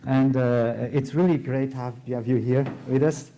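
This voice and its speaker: A man with a Portuguese accent moderating a conference session, halting with many fillers and restarts. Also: mid-range voice, steady tone, warm tone. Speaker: event moderator. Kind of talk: hesitant conference hosting.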